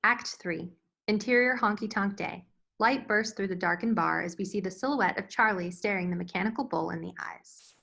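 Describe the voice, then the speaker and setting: A woman's voice: mid-range voice, moderate pace, gravelly, bright, forceful tone. A woman reading scene directions as scripted narration at a screenplay table read. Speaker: stage directions reader.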